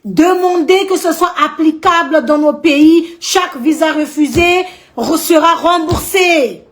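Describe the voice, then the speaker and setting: A woman's voice: extremely high pitch, measured pace. An outspoken woman from Madagascar with a Malagasy accent, talking indignantly to camera in her vlog and repeating points for emphasis. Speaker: vlogger.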